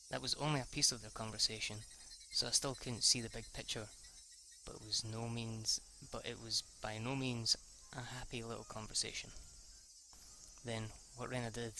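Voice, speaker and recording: Very bright-voiced man reading a game aloud. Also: medium pitch, creaky, a little hiss or hum, poor audio. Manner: stumbles over words and rereads lines; casually foul-mouthed when reacting. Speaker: let's player reading a visual novel aloud. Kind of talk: amateur read-aloud narration with off-the-cuff reactions.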